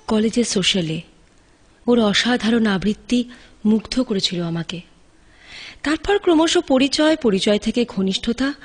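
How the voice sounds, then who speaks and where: Young student girl in love, earnest radio play delivery, higher voice bit husky. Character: tender young female medical student in love. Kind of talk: Bengali audio play acting with monologue.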